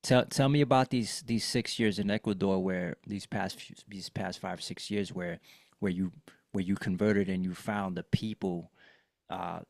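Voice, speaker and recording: A male podcast host with a low voice, recorded in a dry setting with no room echo. He asks curious, encouraging questions to draw out his guest. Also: fairly even intonation, measured pace, vocal fry, a little mellow. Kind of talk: casual interview chat.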